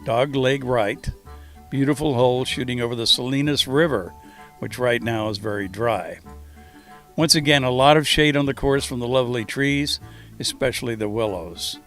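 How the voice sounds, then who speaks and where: A man's voice: mid-range voice, normal speed, a bit bright, soft background music. A man giving a scripted tour narration in the role of host of a course review.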